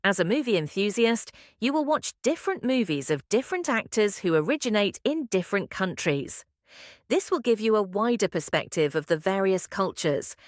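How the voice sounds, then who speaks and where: A woman reading practice passages aloud as a test-prep narrator, in a measured, clearly enunciated scripted style. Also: mid-range voice, no room sound, clean studio sound.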